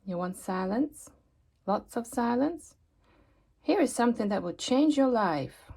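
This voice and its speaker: Magic-potion merchant for a storybook, voiced by a woman: measured, enigmatic pitch-maker with a soft, creaky tone. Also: expressive, a little hiss or hum. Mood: pondering, enticing. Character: measured, mysterious seller of magic remedies. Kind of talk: children's storybook character voice.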